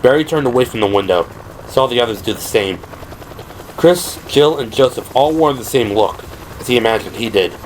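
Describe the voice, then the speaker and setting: A man's voice: mid-range voice, lively intonation, normal speed. Male narrator over noisy sound effects. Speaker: narrator of an audio drama. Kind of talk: dramatic scripted narration. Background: helicopter and vehicle sound effects.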